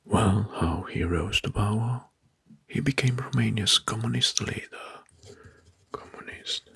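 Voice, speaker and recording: A man narrating history as ASMR in a breathy, hushed whisper, slowly and deliberately, softly repeating words. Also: lowish voice, no room sound.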